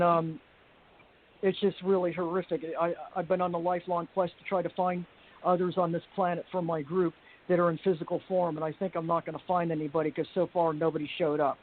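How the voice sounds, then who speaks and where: A woman with a deep voice giving a rambling personal account on a group call, halting with frequent restarts and fillers. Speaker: panel guest. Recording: tinny phone-like line with faint hiss.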